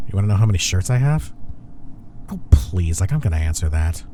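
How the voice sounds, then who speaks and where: A man acting as a cocky, sharp-tongued, flirtatious office rival in an intimate audio roleplay, with a smug, sarcastic way of talking. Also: deep voice, very animated, slight background noise. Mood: sarcastic, annoyed. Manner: smug, sarcastic, drawn-out taunting.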